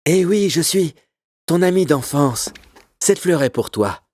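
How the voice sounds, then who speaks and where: Charming young fairy-tale man, tender, husky, close dry sound. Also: fairly high pitch, animated, a little slow, a little mellow, no echo. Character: charming young man, kind childhood friend of princess.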